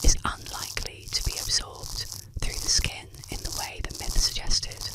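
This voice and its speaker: A woman telling scripted bedtime stories in a hushed, breathy ASMR whisper, unhurried and carefully enunciated, close to the microphone. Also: rough recording. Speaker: ASMR storyteller and host.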